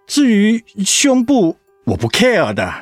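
An actor playing a devoted, teasing middle-aged husband in a radio drama, with a Taiwanese accent and a coaxing way of talking that easily gets flustered. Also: male voice, high-pitched, huge pitch swings, slow, no echo, faint music behind, heavily acted. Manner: coaxing, stammering when cornered.

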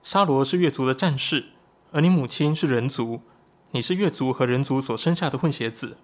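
A man performs an earnest, excitable young man of a shapeshifting tribe in an audio drama. His voice sits in a middle register. He explains things eagerly and expressively. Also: mid-range voice, brisk, natural voice. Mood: earnest, explaining. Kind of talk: Chinese audio drama acting.

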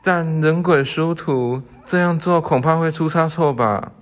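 Young man as earnest scholar, slightly high voice. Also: measured pace, close to an everyday voice. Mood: hesitant. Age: young adult. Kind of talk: student radio drama acting.

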